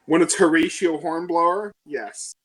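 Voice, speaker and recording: A man's voice: a little high, measured pace, a bit bright, poor audio. A man playing a tabletop game, chatting casually with wry, teasing remarks.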